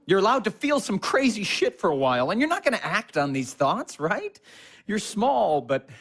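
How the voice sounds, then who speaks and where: A husky young man in an audio drama, sensitive and earnest. His delivery is lively and varied, gently coaxing, protective and quick to react. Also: high voice, full character voice. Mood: reassuring. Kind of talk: naturalistic audio drama acting.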